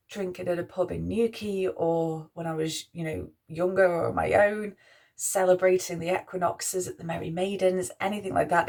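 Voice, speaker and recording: A young woman with a Mancunian accent, a priestess talking softly through her spiritual values with frequent restarts. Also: low voice. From Manchester, England. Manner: musing, soft-spoken, with frequent restarts. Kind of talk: spoken reflection on spiritual values.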